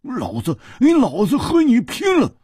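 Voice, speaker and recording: Hot-headed martial arts thug, voiced by a male narrator: blustering roars, very raspy, wildly swinging pitch. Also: huge pitch swings, unhurried, a little mellow, no echo, studio quality, heavily acted. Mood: furious bluster. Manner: blustering, roaring, stammering.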